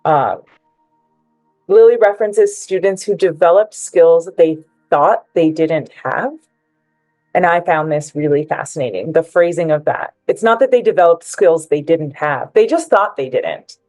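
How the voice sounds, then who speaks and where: A woman's voice: on the low side, expressive, a little slow, a little mellow, no room sound, soft background music, poor audio. A woman giving prepared, persuasive remarks as a panelist at a book presentation, joining remotely.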